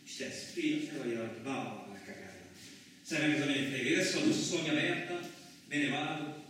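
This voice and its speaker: A man acting in a radio play as a gruff, crude truck driver who tells his story in blustering, rambling, colloquial speech. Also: mid-range voice, a little fast, a bit bright, lightly acted. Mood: irritated, threatening. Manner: blustering, rambling, conversational, mildly swearing. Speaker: actor in a solo radio play. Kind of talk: colloquial theatrical radio monologue. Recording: roomy, echoing distant miking with faint noise.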